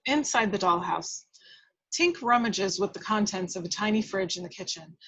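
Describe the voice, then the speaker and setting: A woman reading screenplay action lines aloud with dramatic, expressive delivery. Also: mid-range voice, moderate pace, slightly rough, dry recording. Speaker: reader of action descriptions. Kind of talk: scripted narration, screenplay table read.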